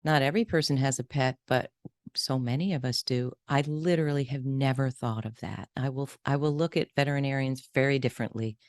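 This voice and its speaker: Female podcast host, very deep voice, effusive and openly emotional, dry close recording. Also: fairly quick, a little mellow, no room sound. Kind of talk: interview conversation.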